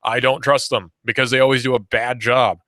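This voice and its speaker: A man's voice: mid-range voice, little pitch movement, fairly quick, sharp tone, no echo, studio quality. A young man in his late teens hosting a talk radio show, giving sarcastic, argumentative commentary and repeating points for emphasis. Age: young adult. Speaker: radio host. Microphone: studio microphone.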